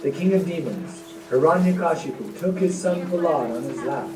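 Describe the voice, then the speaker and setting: A man's voice: on the high side, lively intonation, moderate pace. A man narrating a devotional puppet show from a script, as a storyteller. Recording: roomy, distant mic, music behind.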